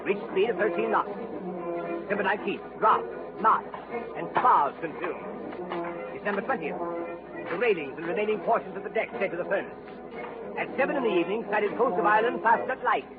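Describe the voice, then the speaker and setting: A man playing an English police detective in a radio drama, narrating in measured, log-like phrases. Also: sits high, lightly acted. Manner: measured log-like narration, frantic when pleading. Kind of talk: 1940s radio drama acting. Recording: tinny old radio broadcast with music.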